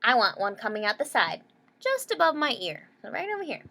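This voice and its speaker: A woman's voice: on the high side, wildly swooping pitch, brisk, gravelly, bright, forceful tone, heavily acted. A woman voicing a stubborn, defiant young schoolgirl in a read-aloud storybook, speaking bluntly and emphatically. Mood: stubborn, insistent.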